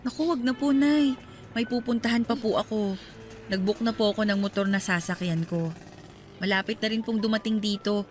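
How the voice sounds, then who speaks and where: A woman's voice: mid-range voice, moderate pace, a little mellow, faint music behind, natural voice. A woman playing a caring, respectful young woman in a radio drama, speaking with affection.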